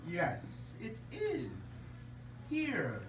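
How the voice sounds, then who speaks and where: A woman's voice: fairly high pitch, huge pitch swings, drawn-out words, heavily acted. A woman voicing a little male circus dragon in a puppet show, an earnest, self-pleased showman. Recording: echoey room, far mic, noisy.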